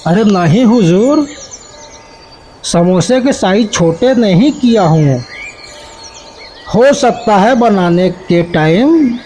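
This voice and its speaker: A man's voice: sits high, a little mellow, a little hiss or hum. A man voicing a greedy village samosa seller who sweet-talks his customers. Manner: smooth-talking, wheedling salesman.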